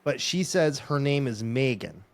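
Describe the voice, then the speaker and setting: A man's voice: mid-range voice, animated, creaky. A man with a slight Minnesotan accent co-hosting a podcast, chatting easily with lots of fillers. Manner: easygoing, filler-heavy, does quick voice impressions. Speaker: podcast co-host. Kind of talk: casual chat.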